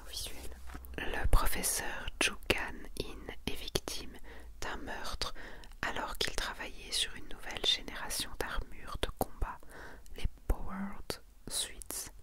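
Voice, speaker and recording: Whispering woman, soft breathy ASMR voice. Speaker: host of an ASMR manga collection video. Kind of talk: whispered ASMR presentation, reading summaries and commenting.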